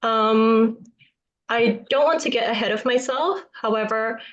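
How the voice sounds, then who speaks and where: A woman's voice: a little high, unhurried. A young woman answering a judge's question off the cuff, earnest, with some hesitations. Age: young adult. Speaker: speech contestant answering judges. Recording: dull, lo-fi sound.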